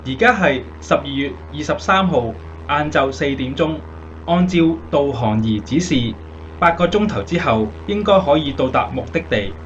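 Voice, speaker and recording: Dutiful navigator, muffled with hum. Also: male voice, on the high side, lively intonation, normal speed, a bit bright. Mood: brisk, dutiful. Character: dutiful male navigator reporting to his captain. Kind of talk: Cantonese radio drama acting.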